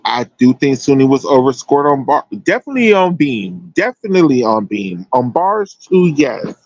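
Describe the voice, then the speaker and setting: A man's voice: medium pitch, very animated, moderate pace, darkish tone, no echo, muffled recording. An American man giving casual, chatty sports commentary as a livestream host, emphatic and slangy.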